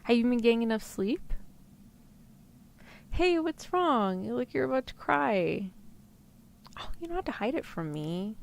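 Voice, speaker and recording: A woman acts out a caring girlfriend in an ASMR roleplay, with lively rises and falls in her voice. She is nurturing and coaxing, with some creak at the edges. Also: fairly high pitch, unhurried, long pauses, voice pushed up, heavily acted. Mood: worried, then gentle, coaxing. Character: tender, worried girlfriend comforting her sad partner. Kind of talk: ASMR roleplay script reading.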